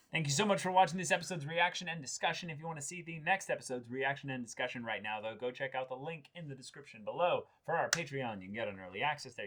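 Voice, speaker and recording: A male host of an anime reaction show talks through theories in a casual discussion. His pitch sits in an ordinary middle range, and he leans on his points emphatically, often restating them. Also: fairly bright tone, a little hiss or hum.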